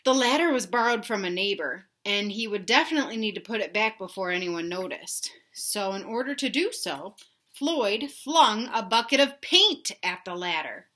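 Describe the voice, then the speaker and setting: Bright-voiced woman reading to children. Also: medium pitch, normal speed. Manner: animated, emphatic, asks young listeners questions. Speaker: read-aloud host for children. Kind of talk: children's storybook read-aloud with asides.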